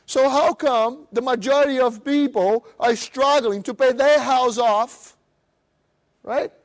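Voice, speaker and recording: Animated Brazilian-accented man, high voice. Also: slow pace. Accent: Brazilian Portuguese. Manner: animated, acts out voices of others. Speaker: motivational speaker. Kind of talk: live seminar presentation.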